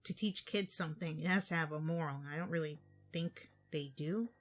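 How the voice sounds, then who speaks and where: A woman's voice: low-pitched, a little fast, faint music behind. A woman host reacting with casual, off-the-cuff commentary.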